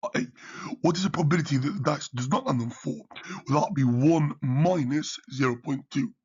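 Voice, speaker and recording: A man working through a maths exam question as a tutor, his voice croaky from partly losing it, peppering his talk with "okay" and "yeah". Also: on the high side, expressive, brisk, lo-fi sound. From United Kingdom. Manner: repeats "okay" and "yeah" often. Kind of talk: exam revision walkthrough.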